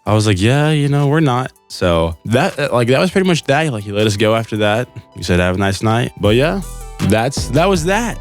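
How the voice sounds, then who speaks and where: A man's voice: medium pitch, very animated, normal speed, soft background music. A young man co-hosting a podcast, chatting and telling stories in a laid-back, slangy way. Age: young adult. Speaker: podcast co-host. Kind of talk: casual storytelling chat.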